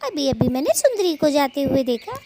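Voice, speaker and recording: Woman voicing a very high-pitched gossipy cartoon bird. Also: sing-song, fairly quick, dark tone, a little hiss or hum. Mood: chatty. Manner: gossipy, complaining. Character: chatty indignant female bird, gossip and fed-up wife. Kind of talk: Hindi cartoon moral story dubbing.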